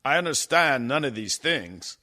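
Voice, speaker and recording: A man's voice: medium pitch, sing-song, a little slow, sharp tone, full character voice. A man playing a solemn, grieving doctor who narrates his tale in a radio play. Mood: bewildered.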